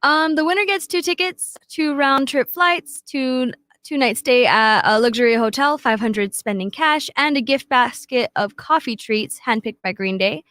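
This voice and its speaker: A woman's voice: sits high, moderate pace, few pauses, a bit bright, no room sound. Woman co-host reading radio news. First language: not English. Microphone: studio microphone.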